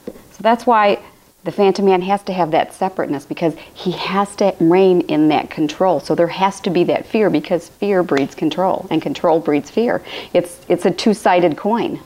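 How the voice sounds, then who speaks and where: A woman speaks in a low, raspy voice that keeps cracking. She gives a spiritual talk on a live stream from home, circling back over her points and restarting her sentences as she goes. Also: moderate pace, a little mellow. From Illinois, USA. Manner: rambling, repetitive phrasing with frequent restarts. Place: home room with a fireplace, indoors.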